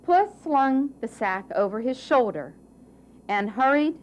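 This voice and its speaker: Slow, animated woman storyteller. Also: sits high. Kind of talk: scripted children's story narration.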